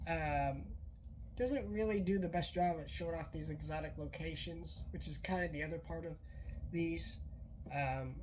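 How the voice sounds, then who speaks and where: A woman's voice: sits low, noisy background. A woman who is sick and sounds off, casually reviewing a movie in a rambling way with many fillers and restarts. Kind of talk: casual spoken film review.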